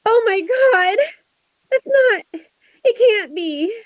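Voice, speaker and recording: A woman's voice: extremely high pitch, lively intonation, takes it slowly, no echo, lo-fi sound, heavily acted. A woman playing a frantic young horror victim in a script table read, calling out urgently. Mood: horrified.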